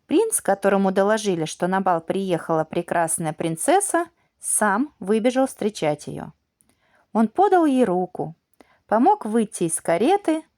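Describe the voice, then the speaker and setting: A woman narrating a children's fairy tale as an audiobook, in an expressive, measured bedtime-story manner. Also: medium pitch, no echo, clean studio sound.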